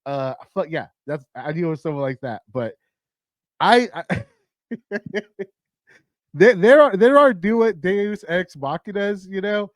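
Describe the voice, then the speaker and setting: A man hosting a movie countdown, his voice raspy and tired, rambling casually with lots of swearing, fillers and restarts. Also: high voice, expressive, a little slow, pauses often, dry recording, clean studio sound. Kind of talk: casual rambling film talk.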